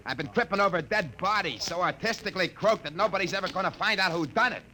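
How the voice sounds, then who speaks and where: An exasperated, sardonic maintenance man from vintage radio drama. His voice is a bit high and brightly forceful, tossing off weary wisecracks over light broadcast noise. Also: expressive, quick pace, exaggerated voice. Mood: weary, irritated. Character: wry, weary, exasperated maintenance crew chief. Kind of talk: 1950s radio drama acting. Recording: noisy old radio broadcast recording.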